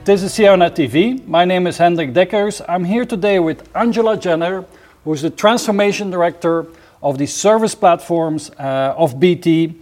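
A man hosting a formal interview, introducing his guest and putting prepared questions in a measured, deliberate manner. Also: sits high, darkish tone, faint music behind. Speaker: interviewer, host.

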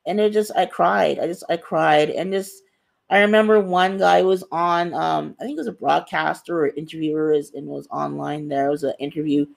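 An earnest woman speaks with a gravelly, creaky edge to her voice. She talks about her work as a guest author, leaning on repeated phrases to drive each point home. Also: low-pitched, steady tone, moderate pace. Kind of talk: reflective interview answer.

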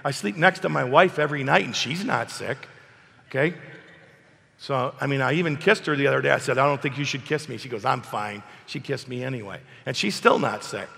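A man preaching an emphatic sermon while hoarse from a cold, asking his congregation questions. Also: medium pitch, a little fast, sharp tone, long reverb tail. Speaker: pastor. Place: church hall.

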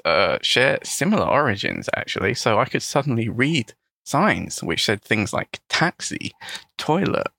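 Gravelly lively man. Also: mid-range voice, no room sound, clean studio sound. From England. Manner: relaxed, thoughtful, slightly hesitant with small restarts. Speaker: podcast co-host, English teacher. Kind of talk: casual podcast chat.

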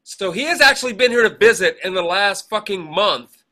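A man with a slightly high, bright and forceful voice co-hosts a casual podcast. He talks in a digressive way, pausing and hesitating as he wanders from one aside to the next. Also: animated. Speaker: podcast co-host. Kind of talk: casual chat, rambling birthday shout-outs.

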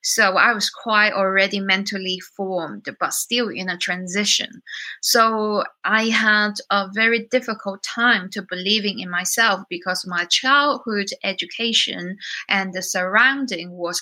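A woman's voice: medium pitch, unhurried, pushed and bright. A woman from China with a Chinese and partly British accent, telling her personal story earnestly and expressively as an interview guest. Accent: Chinese with some British. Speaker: singer-songwriter, interview guest.

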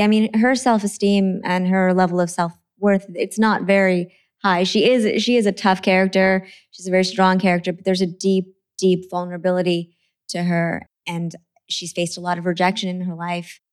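A woman from Trinidad, an author talking about her books in a relaxed interview, earnest and quick to laugh. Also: mid-range voice, smooth. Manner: earnest, laughs easily, repeats words when starting. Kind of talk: conversational interview.

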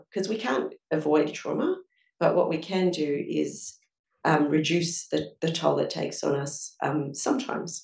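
A woman with a low, gravelly voice gives earnest advice on a panel, working through examples. She is recorded from some distance in a roomy, echoing event space. The sound has a live-event feel. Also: a little mellow. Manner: earnest, advisory, speaks in examples. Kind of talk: panel discussion answer. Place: event room with audience. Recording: echoey room from a distance.

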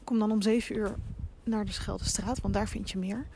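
An actress playing an anxious but determined young reporter in an audio drama, thinking aloud haltingly as she records herself. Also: medium pitch, fairly even intonation, natural voice. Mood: hesitant, uneasy. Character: anxious, determined young female local reporter investigating a mystery. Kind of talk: audio drama, recorded voice diary while walking. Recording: very noisy outdoor field recording with clicks.

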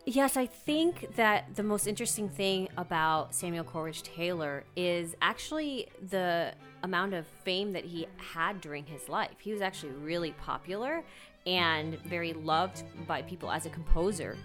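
A woman's voice: on the low side, animated, normal speed, fairly bright tone, faint music behind. A woman speaking as a guest in a conversational interview, reflective and earnest, thinking aloud as she goes.

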